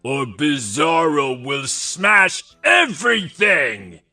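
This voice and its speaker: A man voicing a brutish, childlike superhero clone in a children's audiobook, with a gravelly voice, blustering in broken grammar. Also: on the high side, big pitch swings, takes it slowly, pushed and bright, faint music behind, heavily acted. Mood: angry bellowing. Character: Bizarro, brutish backwards superhero clone, male, childlike temper.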